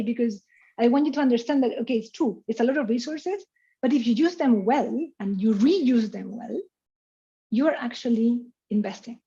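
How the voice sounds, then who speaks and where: A woman's voice: fairly high pitch, warm tone. A woman from Chile, an invited speaker answering questions after her online lecture, talking freely with lots of "you know" and "like". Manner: talkative, heavy on "you know" and "like", laughs often. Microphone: video call. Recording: muffled video-call audio.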